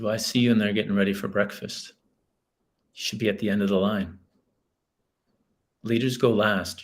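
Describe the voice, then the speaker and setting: A man, a guest author telling a story in an earnest, reflective way. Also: lowish voice. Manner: earnest, reflective, many restarts. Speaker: guest, author and school leader. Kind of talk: storytelling in a podcast interview.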